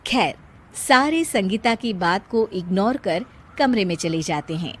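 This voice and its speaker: A woman's voice: medium pitch, expressive, unhurried, fairly bright tone. A woman reading scripted narration for a cartoon moral story as a storyteller.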